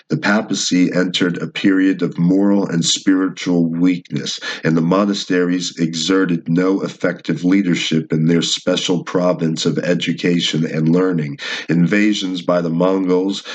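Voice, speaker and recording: A man who reads aloud from a book and adds informal, rambling commentary. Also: deep voice. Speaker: channel host reading a book. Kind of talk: book reading with casual commentary.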